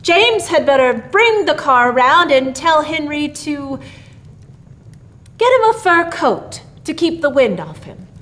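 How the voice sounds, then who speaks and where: A woman's voice: high-pitched, big pitch swings, unhurried, a bit bright, pitched above the performer's own voice, heavily acted. A well-to-do storybook papa, played by a woman, formal and hearty in a mock-grand way. The delivery swings widely and lands firmly on its words. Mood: grave. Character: Mister Harrison, grave, jovial well-to-do father.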